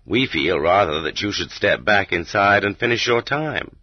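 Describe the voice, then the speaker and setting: Husky low-voiced male audiobook narrator. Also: lively intonation, no room sound. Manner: measured, dramatic delivery with rhetorical emphasis. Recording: slightly muffled, dull cassette audiobook.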